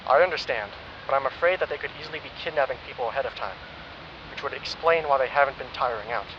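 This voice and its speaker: A man voices a protective ally hiding out somewhere safe. He speaks calmly and reasons with care, and the sound is muffled and lo-fi with background hiss. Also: mid-range voice, quick pace, natural voice. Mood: reasoning. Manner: calm, reasoning, concerned. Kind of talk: audio drama acting. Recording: dull, noisy, lo-fi recording.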